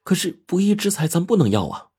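Man doing a polite young woman, husky. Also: high voice, big pitch swings, quick pace, warm tone, voice pushed up, heavily acted. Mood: placating. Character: gentle, polite young woman hairdresser, conciliatory.

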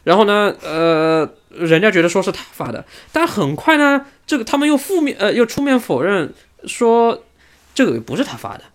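A man's voice: high-pitched, big pitch swings, normal speed. A man hosting a casual motorsport podcast discussion. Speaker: podcast host.

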